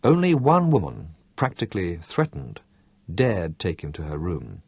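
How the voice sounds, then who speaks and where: Husky low-voiced male narrator. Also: dry recording. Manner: measured, expressive storytelling. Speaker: audiobook narrator. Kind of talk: scripted narration.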